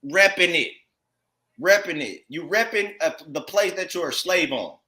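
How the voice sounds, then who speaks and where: Male American religious teacher, voice a bit high, exhorting informally, emphatic and repetitive. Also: animated, measured pace, bright, forceful tone. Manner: emphatic, repetitive, with rhetorical tag questions. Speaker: congregation teacher commenting on read text.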